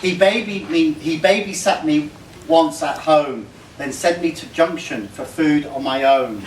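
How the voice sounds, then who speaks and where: A man reading a comic rhyming poem aloud to a gathering, in measured lines. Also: a little high. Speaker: reader of a birthday tribute poem. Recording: roomy, noisy live recording from far off.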